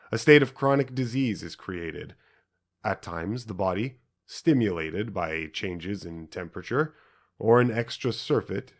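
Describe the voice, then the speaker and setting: A man reading an audiobook as a scripted narration, in a measured, formal manner with short, separated phrases. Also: lowish voice.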